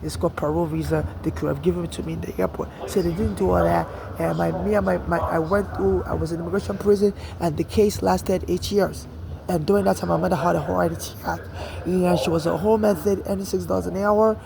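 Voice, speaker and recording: A man's voice: sits high, steady tone, a little fast, creaky, a crowd in the background, poor audio. A man from Nigeria with a Nigerian accent, telling his own story in a rambling way with many restarts and repeated "you know". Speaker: interviewee. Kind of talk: street interview, personal account.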